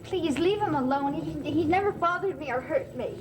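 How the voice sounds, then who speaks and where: A woman's voice: high-pitched, normal speed, natural voice, rough recording. An actress playing a shy, nervous young woman who speaks hesitantly, from an old television soap opera. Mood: pleading. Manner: hesitant, timid, pleading. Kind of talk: 1970s soap opera acting.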